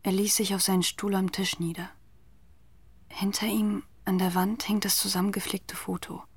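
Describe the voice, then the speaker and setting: An actress playing a grave, haunted narrator in a literary radio drama, telling the story in a measured, deliberate way. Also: female voice, medium pitch, level tone, breathy edge. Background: music.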